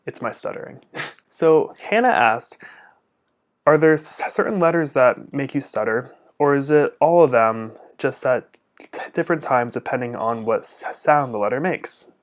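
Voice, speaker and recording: A man's voice: medium pitch, animated, moderate pace. A young man, a speech-language pathologist, answering viewer questions in a casual vlog, earnest and open, stuttering often with repeated sounds and blocks.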